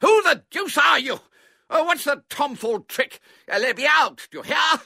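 A man's voice: medium pitch, very animated, moderate pace, pushed and bright, no echo, studio quality, voice pushed up. A man voicing a villainous elderly man in a storybook, sneering and theatrical with explosive bluster. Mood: furious, shouting. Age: older.

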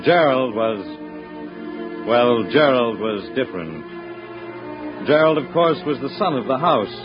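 A man narrating a dramatic story in old-time radio style, measured and ominous. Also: mid-range voice, animated, natural voice. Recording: dull old radio broadcast recording. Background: clear music.

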